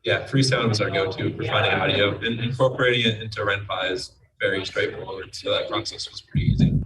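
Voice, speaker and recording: A male developer answers panel questions in a matter-of-fact way, with a fairly low voice and very flat intonation. A noticeable crowd murmurs behind him. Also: brisk. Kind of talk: unscripted interview answers. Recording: distant mic, crowd chatter behind.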